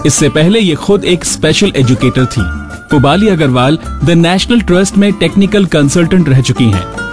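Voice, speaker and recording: A man's voice: fairly high pitch, animated, a little slow. A man with an Indian accent presenting a scripted radio show as its host. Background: background music.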